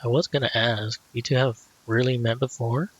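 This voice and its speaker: A man giving casual let's play commentary with low-key, short reactions. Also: steady tone, moderate pace, breathy edge. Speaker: gaming video creator. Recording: dull lo-fi mic, faint game music.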